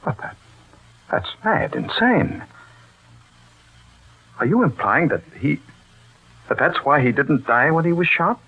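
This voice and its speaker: An actor playing an excitable, incredulous man in a 1940s radio mystery, urgent and halting, on a tinny old recording. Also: on the high side, slightly rough, heavily acted. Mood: shocked disbelief.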